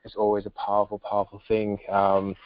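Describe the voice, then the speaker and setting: A man, introducing himself on a call in a measured way with hesitant pauses. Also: lowish voice, monotone, no room sound. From Manchester, England. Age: 20s. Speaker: company founder. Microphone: remote call. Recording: dull, lo-fi conference call audio.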